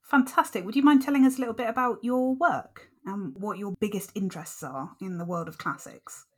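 A woman's voice: medium pitch, fairly quick. A woman co-hosting a podcast, asking questions in a chatty, self-deprecating, teasing way. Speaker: podcast co-host, interviewer. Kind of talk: casual podcast chat.

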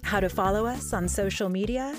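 A woman's voice: medium pitch, a little fast. A woman reading a scripted podcast outro as an announcer. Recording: voice over music bed. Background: music.

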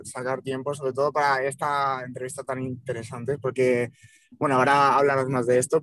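A man co-hosts a podcast with a brisk, upbeat and casual way of talking. His voice sits in an ordinary middle range. He drops in a bit of slang as he chats. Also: mid-range voice, a bit bright. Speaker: podcast co-host.

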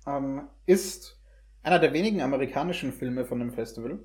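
A man's voice: mid-range voice, animated, fairly quick, a little mellow. A young adult man talking casually about films in as a host, searching for his words and mixing in English slang. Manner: hesitant phrasing mixed with English slang words. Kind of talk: casual conversational film review.

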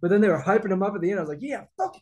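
A young man trading crude jokes on a casual podcast, drawing out his asides. His voice sits a little higher than most men's. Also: lively intonation, racing, crowd noise. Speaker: podcast co-host.

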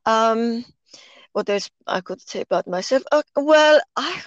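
A woman's voice: on the high side, lively intonation, unhurried, dry recording, muffled recording. A woman in her sixties from Poland with a Polish accent, speaking in a casual podcast interview in an earnest, persuasive way and often repeating herself while she gathers her thoughts. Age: 60s.

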